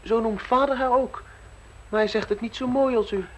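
An actress playing a naive, eager young gossip in a radio play. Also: female voice, a little high, fairly even intonation, moderate pace, a little hiss or hum, close to an everyday voice, rough recording. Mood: eager, gossipy.

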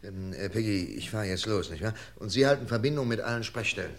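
An actor playing a determined police chief directing a manhunt in a radio crime drama, brisk and commanding. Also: male voice, on the low side, natural voice. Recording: clear vehicle noise behind.

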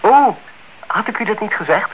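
A man's voice: sits high, lively intonation, normal speed. A man acting in a radio drama, playing a reserved, courteous married man who speaks politely, modestly and a little evasively. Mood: guarded. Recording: dull, faintly hissy old radio recording.

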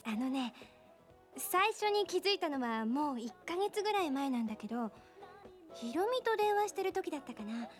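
A woman's voice: high voice, sing-song, normal speed, soft background music. An actress playing a flighty, nosy young woman in a radio drama, talking in a casual, girlish, chatty and pleading way. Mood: excited gossip. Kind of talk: radio drama acting, casual conversational Japanese.

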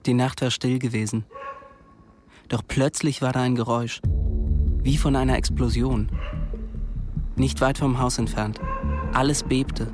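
A male narrator delivers scripted literary radio drama prose. His voice sits at a middle pitch, with prominent music running underneath. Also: fairly even intonation, unhurried, pauses often.

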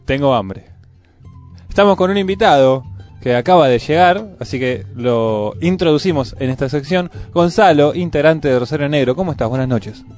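A man's voice: a little high, lively intonation, moderate pace, a little mellow, soft background music. A man with a Buenos Aires area Argentine accent hosting a casual live radio chat. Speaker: radio host. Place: radio studio.

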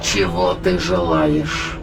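A man playing a cold alien intruder that inhabits a dead body, speaking slowly and formally with a gravelly, creaky voice in an audio drama. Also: sits low, very animated, background noise, heavily acted. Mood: cold, evasive. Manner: slow, formal, measured speech.

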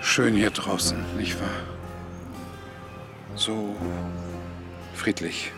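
A man's voice: fairly low pitch, fairly even intonation, extremely slow, over loud music. An actor playing a kindly, upright older man, polite and a little hesitant, in a German radio crime drama. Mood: gentle, wistful. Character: kindly, upright elderly widower pulled into crime.

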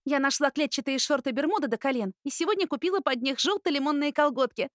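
A woman voicing a bubbly, stubborn young student, a younger sister with excitable, girlish chatter, in an audiobook. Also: high-pitched, fast talker, no echo, clean studio sound, pitched above the performer's own voice, lightly acted. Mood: teasing, admiring. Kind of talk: audiobook character acting.

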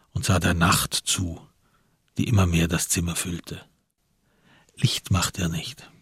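A man narrating a radio crime drama in a measured, sober, literary manner, with a husky voice. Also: deep voice, no room sound, clean studio sound, full character voice.

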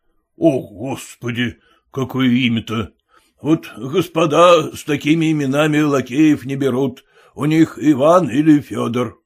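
A man's voice: medium pitch, a little slow, slightly rough. A man voicing a stingy, domineering merchant who speaks bluntly and scolds his servants. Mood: gruff bossy. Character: gruff stingy old merchant, bossy.

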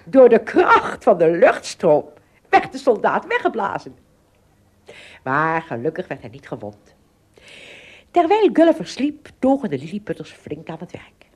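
A woman narrating a scripted children's story expressively, with dramatic pauses and little asides. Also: medium pitch, huge pitch swings, darkish tone, husky.

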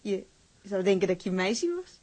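A woman's voice: medium pitch, big pitch swings, normal speed, a little mellow, breathy edge. An actress playing a lovestruck, outspoken young woman from a seaside town in a drama, with blunt, insistent, girlishly colloquial speech. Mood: pleasantly surprised. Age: young adult. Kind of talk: dramatized dialogue acting.

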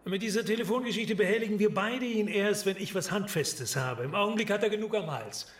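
A driven, calculating police detective in a radio play, played by a man. His voice sits fairly high for a man, and he gives terse, commanding instructions. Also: a little fast, natural voice. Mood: brisk. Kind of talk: radio drama acting.

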